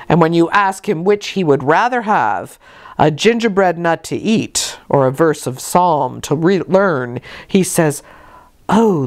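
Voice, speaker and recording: A woman voicing a stern, pompous, pious middle-aged gentleman in a storybook reading, moralizing with deliberate weight. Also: deep voice. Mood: pious smugness. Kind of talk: dramatized audiobook reading.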